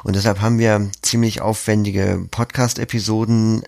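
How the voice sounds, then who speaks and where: Young male podcast guest, very low voice with gravelly fry, explaining things methodically. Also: flat delivery, moderate pace, a little mellow, dry recording. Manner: structured, explains with examples. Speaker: podcast producer, interview guest. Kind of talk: explanatory interview talk.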